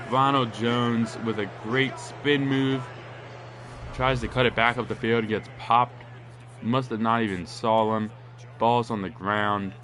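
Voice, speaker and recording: A man with a lowish, stuffed-up, nasal voice calls a football video game in a loose, rambling way. His voice jumps up on the big plays. There's clear noise underneath the recording. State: congested, nasal. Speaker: gaming commentator.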